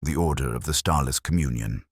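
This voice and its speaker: A man voicing an intense, precise detective in an audio story, speaking in a deliberate, commanding, didactic way. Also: rumbling low, breathy edge, no echo, clean studio sound. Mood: grave.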